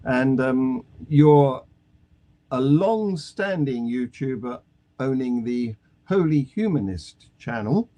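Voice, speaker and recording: A man hosting a live online talk show. He speaks slowly, with a lively, rising and falling pitch, and keeps a wry, self-deprecating tone. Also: highs cut off. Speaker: host. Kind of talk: casual chat, hosting.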